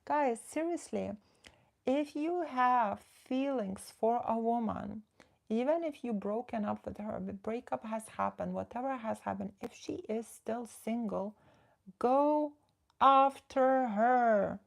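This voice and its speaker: A woman's voice: on the high side, slow, vocal fry. A woman from the Netherlands, a relationship coach, chatting casually on a livestream, reading viewers' comments and giving direct advice.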